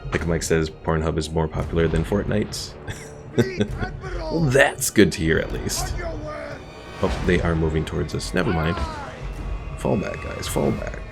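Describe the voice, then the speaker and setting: Male streamer, low and gravelly, relaxed live commentary over loud game music. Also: measured pace, long pauses. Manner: laid-back, chuckling, reads chat aloud.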